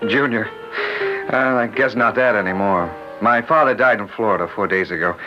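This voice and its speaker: An actor playing a courteous, smooth-talking younger gentleman in an old-time radio drama. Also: fairly low pitch, normal speed, loud music behind the voice, lightly acted. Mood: subdued. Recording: muffled old radio recording.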